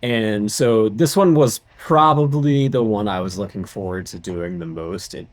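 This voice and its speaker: A man's voice: on the low side, measured pace, no echo. A man co-hosting a podcast, speaking in a casual, conversational style. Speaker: podcast co-host and composer. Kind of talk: casual chat.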